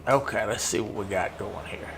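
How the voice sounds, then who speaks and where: An American man with a deep voice chatting casually in a folksy, rambling way while playing a truck driving game. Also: moderate pace. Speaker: host of a gaming stream. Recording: clear background hum and vehicle noise.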